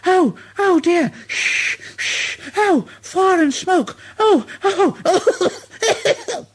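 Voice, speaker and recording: A squeaky, high-pitched little engine, cheeky but easily flustered. The voice wobbles widely and unhurriedly, all nervous little cries. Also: male voice, expressive, extremely slow, full character voice. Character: small cheeky young male tank engine, anxious. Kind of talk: storybook reading.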